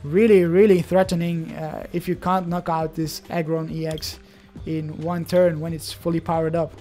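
Mellow, hesitant Belgian Dutch-accented host. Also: medium pitch, little pitch movement, moderate pace, soft background music. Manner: hesitant, frequent restarts and filler sounds. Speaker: channel host opening card packs. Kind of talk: casual gameplay commentary.

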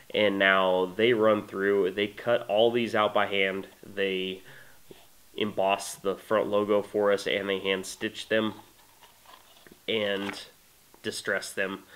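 Congested man, deep voice, chatty. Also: rough recording. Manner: relaxed, enthusiastic, many fillers. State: congested from a cold. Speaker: knife maker presenting his knife. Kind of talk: casual product talk to camera. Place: small indoor workshop room.

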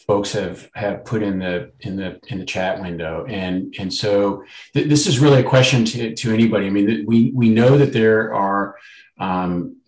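Male host, lowish voice, roomy echo, casual rambling questions. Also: normal speed, darkish tone. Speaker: host reading chat questions. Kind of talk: panel discussion. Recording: roomy video-call audio.

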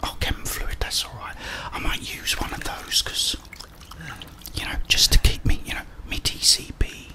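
A young man giving whispered ASMR game commentary in a breathy voice, murmuring short remarks to himself and his viewers. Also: normal speed. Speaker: gaming ASMR host. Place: small indoor room with plain wall.

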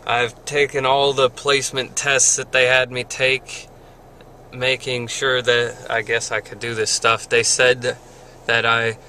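A young man films a casual video diary from the driver's seat of his parked car, holding the camera at arm's length. His voice sounds bright and a little tense. He rambles, hesitates, and corrects himself with small chuckles. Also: medium pitch, monotone, measured pace, slight background noise. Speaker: vlogger. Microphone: handheld camera at arm's length.

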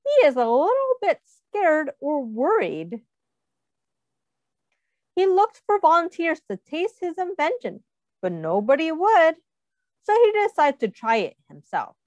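A woman teacher reading a picture book aloud to children, pausing to ask them questions and putting on small voices for the characters, speaking into a webcam. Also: sits high, no echo, muffled recording. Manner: animated, asks children questions, does little character voices.

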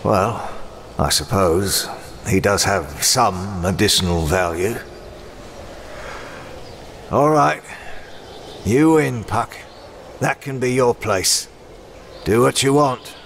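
Male actor as grim warrior for comic dub: gravelly, measured, dryly scornful, noisy backdrop. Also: lowish voice, a bit bright, heavily acted. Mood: weary, grudging. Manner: terse, growling, world-weary. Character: brooding, battle-worn, grim swordsman with dry scorn. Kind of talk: dramatic comic dub acting.

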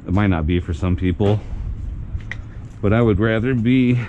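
A man with a low-pitched voice rambles casually, as if thinking aloud for a vlog. He is recorded outdoors on an action camera, so the sound is dull and muffled. Clear ambient and vehicle noise sits behind him. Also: normal speed. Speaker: vlogger. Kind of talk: casual outdoor vlog commentary while scavenging. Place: outdoors in an industrial park lot. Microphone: body-worn action camera.

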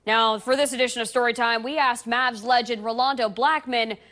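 Higher-pitched woman presenting sports TV. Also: steady tone, fairly quick, fairly bright tone. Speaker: sports show host. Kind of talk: scripted TV presenting. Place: indoor TV studio.

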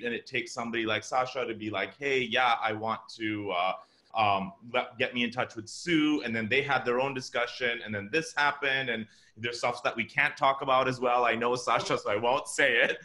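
A man's voice: medium pitch, fairly even intonation, fairly quick, sharp tone. A man speaking casually as an actor on a video call panel, hesitant, with many false starts.

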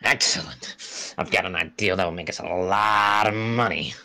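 A man's voice: sits low, normal speed, vocal fry, pushed and bright, exaggerated voice. A man voicing a scheming, grumpy, pompous little cartoon fellow in a brash, indignant way.

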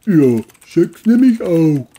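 A dopey, stubborn male cabbie from a crime audio story as put on by a narrator. His voice is extremely dark and dull in tone, and he speaks slowly and bluntly. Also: mid-range voice, very animated, slightly rough, voice pushed up, exaggerated voice. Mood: dopey, carefree. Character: dim, carefree, stubborn male taxi driver.

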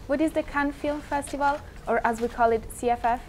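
A woman's voice: high-pitched, steady tone, a little fast, darkish tone, slight background noise. A teenage girl from Italy, a student anchor reading school announcements in a school TV studio. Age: teens.

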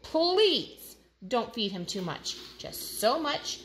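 A woman voicing a stern, knowing man who chides in a measured way, in a children's story. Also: a little high, sing-song, background noise. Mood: firm, instructing.

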